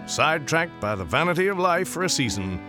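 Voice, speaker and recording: A man narrating a Western radio story as a folksy, measured storyteller. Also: fairly high pitch, big pitch swings, over music. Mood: warm, reflective. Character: storyteller narrator of a warm Western Christmas tale.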